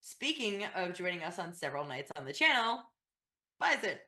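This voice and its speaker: Very low, bright-voiced woman losing her voice. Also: big pitch swings, moderate pace. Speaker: cast member of tabletop game livestream. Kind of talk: casual, chatty self-introduction.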